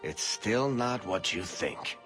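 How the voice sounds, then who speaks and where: A low-voiced, sardonic rogue tells his tale as in a cinematic fantasy game. He has a wry, worldly way of talking, with clear music playing behind him. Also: animated, slow. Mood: guarded, wry. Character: wry storyteller, roguish dwarf companion recounting past adventures.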